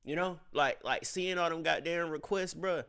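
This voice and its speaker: A man hosting a reaction video, talking slangy and animated to his chat and giving them advice. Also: a little high, normal speed, smooth. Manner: emotional, says he has tears in his eyes. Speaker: reaction channel host. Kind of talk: casual reaction commentary to viewers.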